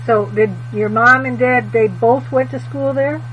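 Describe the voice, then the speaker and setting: High-voiced, slow-spoken male interviewer. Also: steady tone, phone-quality sound, slight background noise. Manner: brief prompting questions and soft backchannels. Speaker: oral history interviewer.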